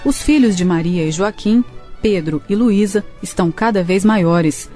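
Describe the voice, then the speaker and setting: Mellow woman narrating a radio drama. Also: medium pitch, moderate pace, faint music behind.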